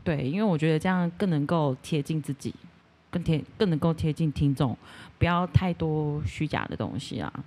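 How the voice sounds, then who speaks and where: A woman with a Taiwanese Mandarin accent and a husky voice, talking casually as a podcast guest. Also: low voice, a little fast, mellow. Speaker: podcast guest, ASMR voice performer. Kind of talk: casual chat.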